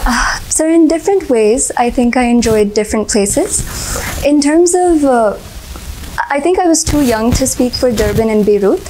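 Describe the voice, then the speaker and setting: Casting: young woman, 20s, American accent, Hindi native, slightly high, deferential candidate, filler-heavy. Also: lively intonation, normal speed, background noise. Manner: polite, says sir often, frequent uh fillers, light laughs. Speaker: interview candidate. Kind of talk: formal mock interview answers.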